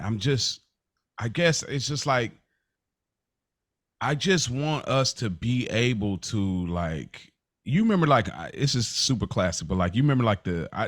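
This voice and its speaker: A man's voice: mid-range voice, dry recording, studio quality. A man co-hosting a podcast, debating casually and earnestly, often restarting and repeating his point. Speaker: podcast co-host.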